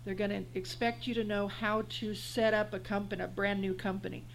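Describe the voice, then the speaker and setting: A woman's voice: medium pitch, flat delivery, fairly quick, gravelly, a little hiss or hum, rough recording. Blunt, encouraging woman panelist. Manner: blunt, encouraging, gives advice. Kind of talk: panel discussion.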